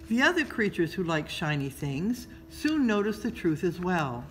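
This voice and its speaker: A woman reads a children's story aloud in a measured, expressive storytelling style, pausing between phrases. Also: fairly low pitch, low-quality recording. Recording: noticeable background noise.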